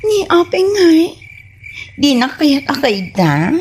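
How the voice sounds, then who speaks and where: A woman playing a doting, spoiled, clingy wife in an Ilocano comedy radio drama, cooing in a drawn-out way with an Ilocano Filipino accent. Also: high voice, sing-song, a little mellow, a little hiss or hum, full character voice. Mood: clingy, pleading.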